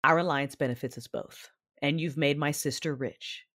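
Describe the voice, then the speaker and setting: A woman playing a brooding, commanding Sith who narrates her memories in a measured way for an audio drama. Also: sits low, fairly even intonation, a bit bright. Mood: measured, solemn. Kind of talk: first-person audio drama narration and dialogue.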